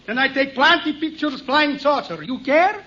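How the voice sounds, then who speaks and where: An actor playing a shifty Russian tourist in old radio comedy, with a thick accent, clipped broken English and a blunt, deliberate manner. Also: male voice, very high-pitched, animated. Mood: bluntly insistent. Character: camera-happy Russian spy posing as an American tourist. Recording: very noisy, dull 1950s radio recording.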